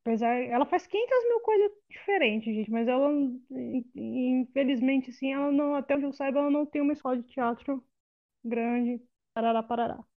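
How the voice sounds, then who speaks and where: A woman's voice: sits high, normal speed. Opinionated woman, lo-fi call audio. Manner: opinionated, talks it through with many restarts. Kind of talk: casual film review chat.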